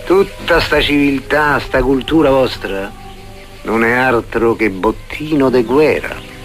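A man's voice: medium pitch, slow pace, over music, natural voice. An actor with a Roman accent playing a sardonic man scolding Roman soldiers, colloquial and mocking, in a comic radio play.

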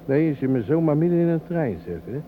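An actor playing a chatty train conductor, bantering and grumbling, in a naturalistic radio drama. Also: male voice, a little high, expressive, quick pace, phone-quality sound, slight background noise, natural voice.

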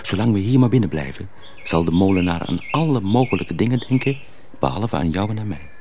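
A man playing a sly young miller's apprentice who poses as a simpleton, speaking confidingly and deliberately in a radio drama. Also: low-pitched, lively intonation, mellow. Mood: earnest warning. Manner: deliberate, hesitant, confiding, repeats himself. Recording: faint background noise.

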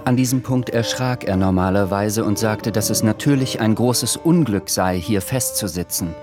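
A man narrating a radio drama in a calm, measured storytelling voice. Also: on the low side, close to an everyday voice. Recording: music playing underneath.

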